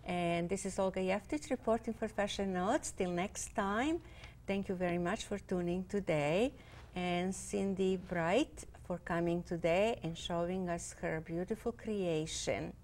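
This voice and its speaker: A woman's voice: on the low side, unhurried, darkish tone. A middle-aged woman hosting a cable fashion interview show, speaking in with many fillers and hesitations. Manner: hesitant, many fillers, non-native grammar.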